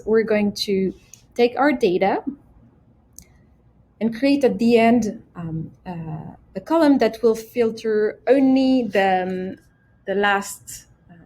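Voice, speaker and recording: A woman presenting a casual software tutorial, explaining each step simply and speaking directly to the viewer. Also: mid-range voice. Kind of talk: casual screen-share tutorial.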